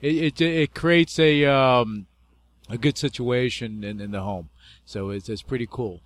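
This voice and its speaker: A man's voice: medium pitch, unhurried, no room sound. A man hosting an interview, chatty and enthusiastic, laughing often. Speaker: interview host. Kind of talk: casual interview talk.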